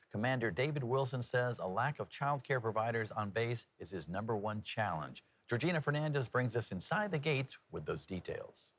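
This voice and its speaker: A man's voice: mid-range voice, fairly bright tone. A man working as a TV news anchor and reporter, with a folksy, conversational, jokey way of presenting.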